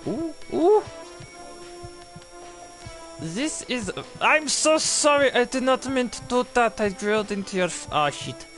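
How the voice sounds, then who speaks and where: Man putting on high-pitched bungling foreign surgeon. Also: animated, normal speed, faint music behind. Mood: panicked, apologetic. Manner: flustered, exclaiming, stilted uncontracted phrasing, swearing. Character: bungling, apologetic male foreign surgeon with a put-on accent. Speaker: video game player. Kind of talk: gaming commentary in a put-on accent.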